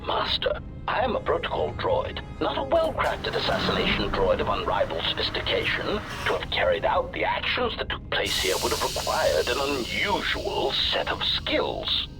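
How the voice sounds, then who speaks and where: An actor playing a polite but sinister protocol droid in a video game, with robotic processing and formal, pedantic delivery. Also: male voice, fairly high pitch, rough recording. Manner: formal, pedantic, labels statements before saying them. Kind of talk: scripted video game dialogue.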